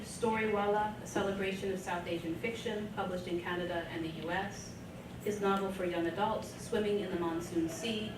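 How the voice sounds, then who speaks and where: A woman presenting at a conference, reading a scripted introduction aloud at a podium, recorded from across a hotel meeting room. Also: medium pitch. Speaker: event presenter introducing an author. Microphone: podium microphone, recorded from across the room. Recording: echoey, distant, noisy room.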